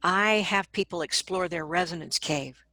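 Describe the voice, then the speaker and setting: A woman speaking as a vocal coach in an interview, explaining advice conversationally and acting out examples in an animated manner. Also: lowish voice, moderate pace, no room sound, clean studio sound.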